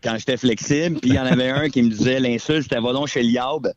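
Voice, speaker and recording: A man's voice: mid-range voice, fairly even intonation, fast talker, dry recording, dull audio. A man in his forties with a Québécois accent, a guest comedian telling stories in playful, self-mocking casual chat. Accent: Québécois French. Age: 40s.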